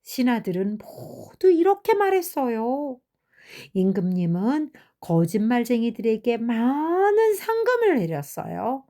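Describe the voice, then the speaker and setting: An older woman narrating children's fairy tales in a gentle storytelling style. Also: a little high, big pitch swings, measured pace.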